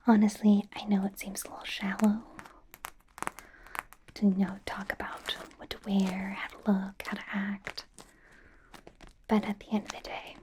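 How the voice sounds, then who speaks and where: A woman playing a bubbly, fawning young female K-pop idol stylist in a soft, breathy ASMR roleplay. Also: medium pitch, natural voice. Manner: gushing, casual, slow, with repeated soft reassurances. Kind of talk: whispered ASMR roleplay, personal attention.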